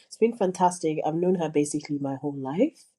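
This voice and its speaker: A woman's voice: sits low, fairly quick, warm tone. A woman chatting on a podcast, teasing and theatrical, laughing often. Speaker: podcast guest. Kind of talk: playful casual chat.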